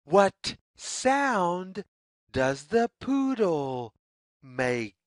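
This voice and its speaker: A woman reading a picture book aloud to young children as a narrator, with deliberate, measured, sing-song phrasing. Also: fairly low pitch, big pitch swings, no echo, studio quality.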